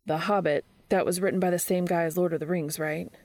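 A woman's voice: fairly low pitch, fairly even intonation, brisk, a little mellow, no room sound, close to an everyday voice. A woman voicing a laconic, reserved teenage boy in an audiobook, casual and understated. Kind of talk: audiobook character dialogue.

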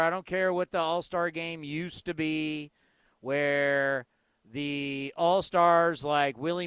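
Impassioned high-voiced sports radio host. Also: male voice, fairly bright tone, smooth, no room sound. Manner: impassioned, emphatic, conversational.

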